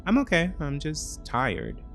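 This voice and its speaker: Man voicing a bitter betrayed woman, raised pitch. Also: huge pitch swings, slow pace, creaky, fairly bright tone, faint music behind, full character voice. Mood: guarded, flat. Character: betrayed, bitter, wounded woman narrating her revenge.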